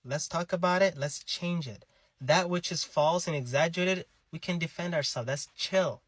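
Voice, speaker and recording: A man's voice: fairly high pitch, moderate pace, fairly bright tone, no room sound. A man speaking earnestly to camera, appealing to his own community. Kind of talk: personal monologue to camera.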